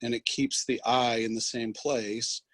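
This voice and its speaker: A man giving an instructional software demonstration as a trainer, explaining in a halting way. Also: mid-range voice, little pitch movement, slightly rough, no room sound. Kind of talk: instructional screen demonstration, loosely scripted.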